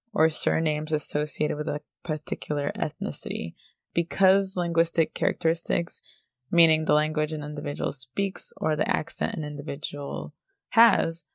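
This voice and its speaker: A woman with a standard American accent hosting a podcast, explaining a topic in a measured, scripted way. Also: sits low, vocal fry, no room sound, studio quality. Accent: General American. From United States. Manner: measured, explanatory, reads from prepared notes. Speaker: podcast host.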